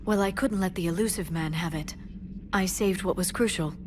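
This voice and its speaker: Composed alien scholar, game role, actress: lowish voice, articulate, earnest, audible ambient noise. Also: female voice, normal speed, close to an everyday voice. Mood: reflective, measured. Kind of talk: video game character dialogue. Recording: clear ambient noise behind game dialogue.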